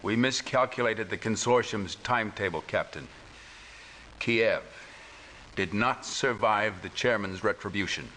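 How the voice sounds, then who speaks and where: A man's voice: fairly low pitch, unhurried, pushed and bright, rough recording. A man playing a grave resistance commander in a video game, giving formal, clipped military briefings. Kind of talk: video game cutscene acting. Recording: old game audio, faint noise.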